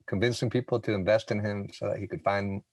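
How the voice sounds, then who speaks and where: A man's voice: lowish voice, steady tone, fast talker, darkish tone, dry recording. A man in his forties from Puerto Rico, a podcast guest telling stories in a casual, candid, informal way. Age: 40s. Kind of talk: casual conversational storytelling.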